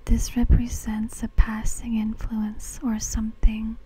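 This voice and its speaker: A woman whispering a fortune-telling reading in ASMR style, breathy and deliberate, speaking close to the mic. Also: on the high side, monotone, mellow. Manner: slow, deliberate, measured phrasing. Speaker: fortune teller.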